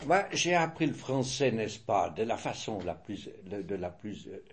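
An older man with a Swiss French accent answering interview questions in a measured, hesitant way, often restarting and correcting himself. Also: on the low side, sing-song, breathy edge.

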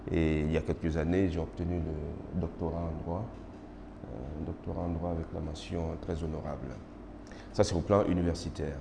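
A middle-aged man from Côte d'Ivoire with an Ivorian French accent, whose first language is Baoulé, answering formal interview questions in a measured way with many hesitations. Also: a bass voice, little pitch movement, creaky. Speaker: interviewee, magistrate. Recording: noisy roomy recording with long reverb.

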